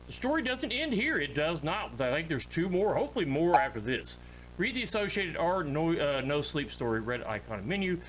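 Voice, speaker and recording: A man's voice: a little high, very animated, normal speed, a bit bright, a little hiss or hum, low-quality recording. A man giving casual commentary as he plays a horror game, reading screen text aloud with joking, offhand asides. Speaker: streamer playing a horror game.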